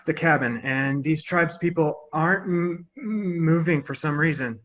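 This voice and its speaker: A man's voice: fairly high pitch, measured pace, a bit bright, highs cut off, pitched above the performer's own voice. A man acting as a panicked, foul-mouthed scout, stammering frantically as he reports in. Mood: nervous. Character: panicked, foul-mouthed young male scout on walkie-talkie.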